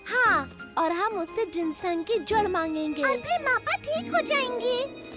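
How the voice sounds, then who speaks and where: An eager little animal cub from a children's cartoon, with a very high, childlike voice. Speech is exclamatory and youthful, over prominent music. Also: animated, unhurried. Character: excitable young animal cubs on an adventure. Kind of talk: Hindi children's cartoon dub. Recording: music behind the voice.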